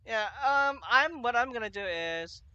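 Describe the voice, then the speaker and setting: A man casually commentating on his game livestream, rambling in a halting way. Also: very high-pitched, lively intonation, bright, forceful tone, lo-fi sound, a little hiss or hum. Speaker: game streamer. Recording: game music behind the voice. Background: video game music.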